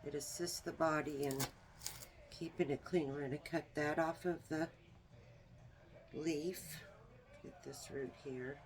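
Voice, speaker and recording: A woman with a small, soft voice, demonstrating a herbal remedy in a homey, unhurried way while she works. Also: low-pitched, steady tone. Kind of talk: casual how-to demonstration.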